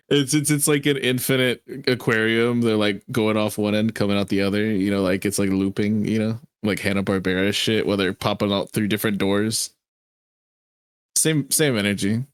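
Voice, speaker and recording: A man's voice: lowish voice, fairly even intonation, moderate pace, clear, resonant tone, dry recording. A man livestreaming casual reaction commentary in informal slang, often laughing as he talks.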